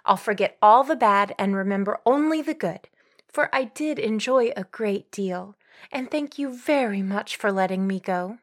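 A woman's voice: medium pitch, moderate pace, natural voice. An earnest young woman played by an actress in a dramatized storybook. She speaks in a mid-range voice, bashful and self-reproachful, as if confiding in someone she trusts. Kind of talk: dramatized audiobook character acting.

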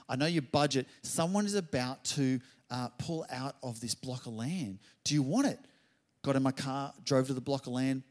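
A middle-aged man preaching a sermon, telling a story and building to loud exclamations. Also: mid-range voice. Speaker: pastor.

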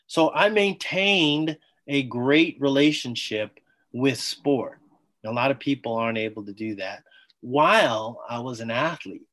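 A man, a former athlete, talking as a guest on an interview podcast, telling his story with enthusiasm in quick bursts. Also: mid-range voice, expressive, fairly bright tone. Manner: enthusiastic, talks in bursts, acts out quoted dialogue.

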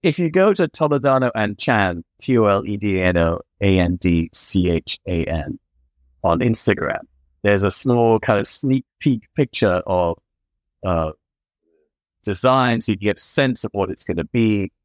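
A man's voice: on the low side, expressive, moderate pace, no room sound. A man appearing as a podcast guest, talking in an expansive, enthusiastic, rambling way. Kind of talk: casual interview chat.

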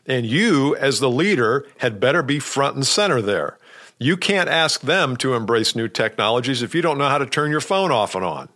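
A man's voice: mid-range voice, expressive, normal speed, a bit bright. A man hosting a podcast, coaching sales leaders with direct talk and rhetorical questions. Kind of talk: podcast monologue.

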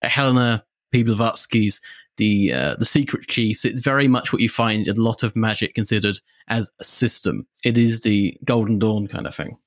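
A man's voice: fairly low pitch, moderate pace, dry recording. Man interviewing in an academic register, dry sound. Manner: long-winded, searching for phrasing as he builds questions. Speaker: podcast interviewer. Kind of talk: academic conversational discussion.

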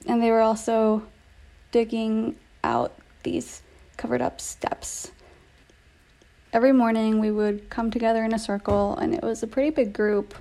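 Female narrator for an audio story, gently mellow with noticeable vocal fry. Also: on the high side, measured pace.

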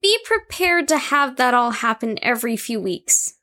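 A woman's voice: a little high, very animated, normal speed, bright, forceful tone. A woman playing a sensible, squeamish, polite patient in an audio drama, earnest and matter-of-fact.

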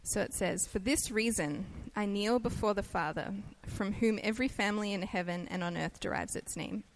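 A woman's voice: mid-range voice, normal speed, gravelly, slight background noise, poor audio. Aussie woman preaching, chatty and easygoing, laughs at herself a lot. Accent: Australian. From Australia. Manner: chatty and self-deprecating, laughs easily. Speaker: guest preacher. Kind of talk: conversational sermon.

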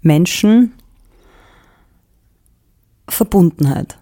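A woman's voice: lowish voice, lively intonation, extremely slow, warm tone. Austrian woman in reflective, casual conversation. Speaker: singer-songwriter in conversation.

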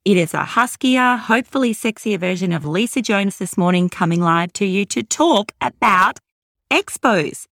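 A middle-aged woman hosting a podcast, upbeat, affectionate and a bit salesy, with a voice gone husky from overuse. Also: medium pitch, expressive, moderate pace, a bit bright, no room sound, clean studio sound. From Australia. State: hoarse from overuse. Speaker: podcast host. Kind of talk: podcast monologue.

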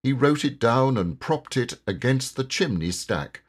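Slow, theatrical man reading verse. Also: medium pitch, lively intonation. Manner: measured, theatrical, deliberate phrasing. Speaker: poetry reader and narrator. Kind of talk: expressive storybook verse reading.

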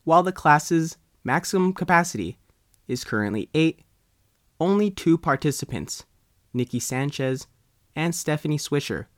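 Lively American man reading scripted news, dry sound. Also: a little high, moderate pace, no room sound. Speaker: reporter reading own article.

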